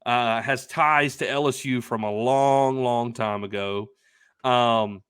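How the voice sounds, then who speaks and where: A young man co-hosting a podcast, telling a casual anecdote in a rambling, emphatic, excitable way. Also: medium pitch, slow pace, clean tone, no room sound, studio quality. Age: young adult. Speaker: podcast co-host telling a story.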